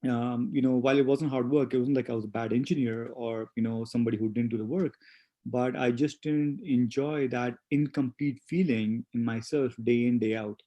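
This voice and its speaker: A man in his forties who grew up in India and speaks English as a second language, reflecting slowly with many pauses and fillers as an interview guest. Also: medium pitch, fairly even intonation, mellow, muffled recording. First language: not English. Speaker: guest, spa owner. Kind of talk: casual interview conversation.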